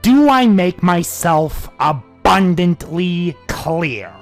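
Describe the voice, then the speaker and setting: Casting: amateur reader as male pony, protective older brother, tearfully furious and menacing, highly animated delivery, music underneath. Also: very slow, exaggerated voice. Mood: menacing fury. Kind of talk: amateur dramatic fan fiction reading.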